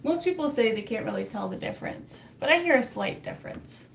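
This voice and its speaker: Chatty canadian woman reading her chat, kinda high voice, laughs a lot. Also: lively intonation, a little fast, a little hiss or hum. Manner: chatty, laughs often, answers viewer comments. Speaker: livestream host reading chat. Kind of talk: casual livestream chat.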